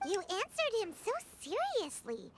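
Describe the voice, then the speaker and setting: A woman voicing a tiny, childlike, cheeky and blunt sprite girl with a squeaky voice in a video game. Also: wildly swooping pitch, measured pace, music in the background, heavily acted. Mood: teasing. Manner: squeaky, speaks of self in third person.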